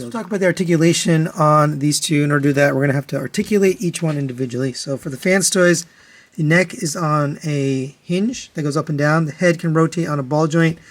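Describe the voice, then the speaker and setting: Male product reviewer, voice a bit on the high side, matter-of-fact and conversational, walking through comparisons step by step. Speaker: toy reviewer, host.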